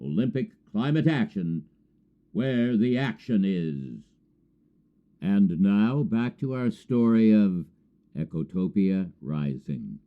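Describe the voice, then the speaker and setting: Male host, low-pitched, unhurried, scripted announcement, earnest, deliberate. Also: lively intonation, phone-quality sound. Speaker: program host and narrator.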